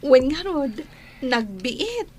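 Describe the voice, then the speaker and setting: A woman's voice: high-pitched, big pitch swings, drawn-out words, darkish tone, a little hiss or hum, heavily acted. A woman playing a caring, attentive village wife with an Ilocano accent in a radio drama. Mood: content, warm.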